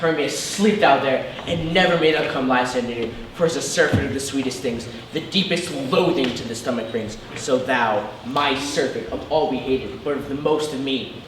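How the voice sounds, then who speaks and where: A man's voice: medium pitch, moderate pace, hardly any pauses, lightly acted. Man acting ardent young suitor, fervent theatrical verse, distant mic, noisy echoey room. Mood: impassioned. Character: ardent, fickle young gentleman lover. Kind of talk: theatrical Shakespearean stage verse.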